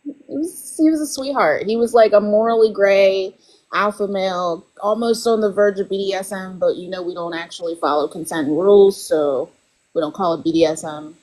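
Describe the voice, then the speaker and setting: A woman's voice: mid-range voice, moderate pace, darkish tone, low-quality recording. A woman guest author in casual podcast chat, talking loosely with lots of fillers and frequent laughs. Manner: chatty, laughs often, lots of "like" and "you know".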